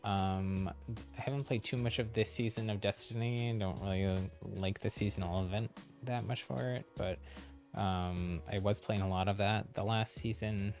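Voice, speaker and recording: Low-pitched man chatting on a game stream. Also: steady tone, normal speed, a little mellow, faint music behind. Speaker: livestreamer, channel host. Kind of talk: casual game stream commentary and chat.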